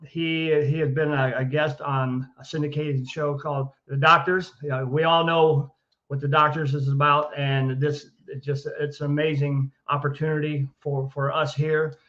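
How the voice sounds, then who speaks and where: A man's voice: a little high, little pitch movement, normal speed, fairly bright tone, muffled recording. A man hosting a live online show who introduces his guest hesitantly, with many restarts. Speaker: show host.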